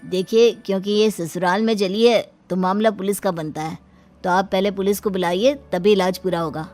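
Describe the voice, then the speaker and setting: A woman's voice: medium pitch, fairly even intonation, normal speed, darkish tone, faint music behind, close to an everyday voice. A woman voicing a stern male doctor in a cartoon story, grave and warning.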